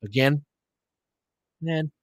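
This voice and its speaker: A man's voice: a little high, very slow, no echo, clean studio sound. A man giving live basketball commentary and analysis in an informal, conversational way. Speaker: play-by-play commentator and host.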